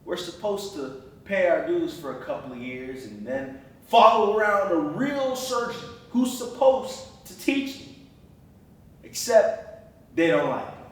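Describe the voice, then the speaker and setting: Guy acting proud resentful young surgeon, dramatic monologue, slowish, echoey and far from mic. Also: sits high, expressive. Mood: bitter resentment. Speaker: acting student. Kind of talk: theatrical acted monologue. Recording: very echoey room, distant microphone.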